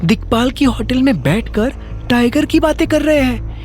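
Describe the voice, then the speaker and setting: A man's voice: very high voice, animated, moderate pace, faint music behind, pitched above the performer's own voice. A man voicing a bold, mocking young woman in an audio drama, emphatic and incredulous. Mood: amused. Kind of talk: Hindi audio drama character acting.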